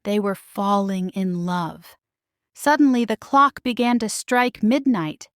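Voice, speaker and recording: A woman narrating a children's storybook in a measured, expressive, scripted read-aloud style. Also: mid-range voice, clear, resonant tone, dry recording, clean studio sound. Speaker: storybook reader.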